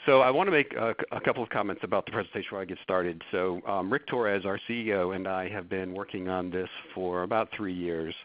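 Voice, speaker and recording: A man's voice: low-pitched, quick pace, hardly any pauses, dry recording. A middle-aged man with a stuffy cold gives a webinar presentation, hesitant with many fillers and restarts. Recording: dull lo-fi webinar audio.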